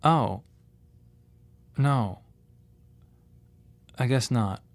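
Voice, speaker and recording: A man's voice: mid-range voice, creaky. An actor playing a grieving, restless young father, earnest, awkward and reflective in a radio drama. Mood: guarded, sad. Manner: halting, reflective. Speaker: narrator and protagonist. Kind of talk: radio drama narration and dialogue. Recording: reverberant hall, faint background noise.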